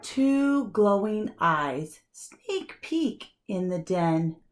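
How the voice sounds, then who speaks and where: Very slow, expressive woman storyteller. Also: medium pitch. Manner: deliberate, dramatic pauses and emphasis. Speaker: kindergarten teacher. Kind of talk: expressive children's storybook read-aloud.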